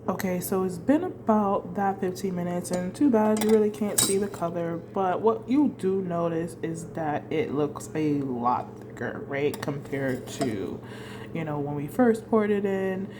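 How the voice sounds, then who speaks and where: A woman's voice: fairly low pitch, measured pace, echoey room, background noise. A woman with a congested voice from a cold hosts a casual home cooking tutorial, chatty and often tagging her sentences with "right?". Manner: chatty, keeps adding "right?". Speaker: cooking channel host. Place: home kitchen.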